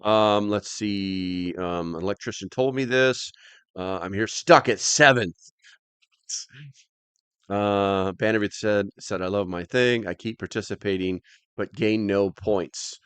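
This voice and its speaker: Guy doing a livestream, low clear voice, rambly casual chat, bit grumbly. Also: unhurried, fairly bright tone, no room sound. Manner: rambling, plain-spoken, mildly grumbly. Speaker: host of a live stream. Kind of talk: casual chat, reading and answering live comments.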